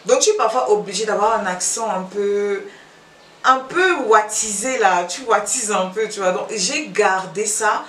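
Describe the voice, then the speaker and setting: A woman's voice: medium pitch, a little slow, a bit bright, slight background noise. A woman from Cameroon with a Belgian-tinged Cameroonian French accent, chatting casually to camera as a YouTuber, repeating phrases and trailing off. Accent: Cameroonian French with a Belgian touch. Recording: echoey room, mic at a distance.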